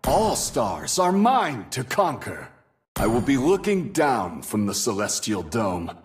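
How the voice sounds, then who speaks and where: An actor playing a proud, domineering celestial warrior in a video game, making grandiose, theatrical boasts. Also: male voice, mid-range voice, sing-song, slow pace. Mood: proud, commanding. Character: proud, domineering male Capricorn star warrior, boastful conqueror. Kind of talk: video game hero voice lines. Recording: game music and battle effects behind.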